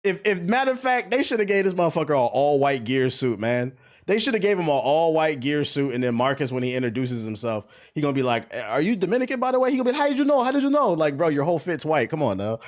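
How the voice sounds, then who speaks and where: Animated American man streaming, slangy. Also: fairly high pitch, fast. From US East Coast. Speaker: streamer reacting to game trailers. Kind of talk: casual live-stream reaction commentary.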